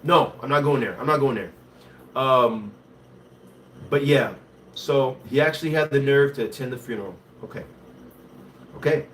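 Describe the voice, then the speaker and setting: A man from Haiti with a Haitian accent, hosting a casual livestream, talking in an animated way and reading viewer comments. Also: medium pitch, normal speed, long pauses, recorded from a distance, a little hiss or hum. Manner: switches between English and Haitian Creole, animated and conversational.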